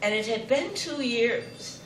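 A woman's voice: fairly high pitch, lively intonation, takes it slowly. Wry older woman telling a story, distant. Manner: wry, self-mocking humor. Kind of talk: personal story told to a live audience. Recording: roomy, distant, noisy live recording.

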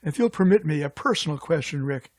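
A man's voice: a little high, animated, a little fast, a little mellow, no room sound. A male radio host and attorney who asks questions in a polite, slightly hesitant way. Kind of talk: radio interview.

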